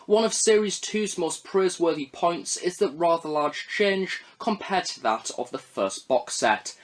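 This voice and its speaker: A male reviewer delivering scripted narration with emphatic, deliberate phrasing. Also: a little high. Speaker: reviewer, host of an audio drama review series.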